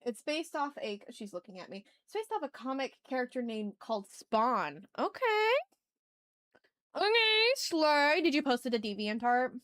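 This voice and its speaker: Higher-voiced woman chatting on a livestream. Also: expressive, moderate pace, no echo. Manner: slangy, informal. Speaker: art livestream host. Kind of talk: casual livestream chat, talking to viewers. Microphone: sensitive ASMR microphone.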